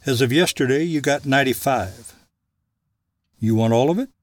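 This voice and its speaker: A man's voice: mid-range voice, normal speed, dry recording, studio quality, lightly acted. A man playing a folksy, obliging 1890s frontier townsman in an audio drama, with rustic phrasing. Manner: folksy rural phrasing, dropped words. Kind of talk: scripted audio drama acting. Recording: clearly noisy background.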